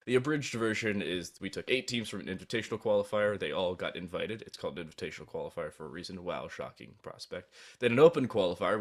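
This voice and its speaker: A man hosting an esports broadcast as caster, energetic and given to sarcastic asides. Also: low voice.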